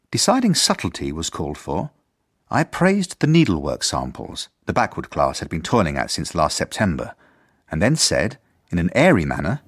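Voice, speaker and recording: A fussy, officious headmaster in a radio drama. His lowish, slightly husky voice moves very expressively through formal, pedantic sentences. The sound is dry and close. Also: dry recording, heavily acted. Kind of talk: scripted radio drama narration.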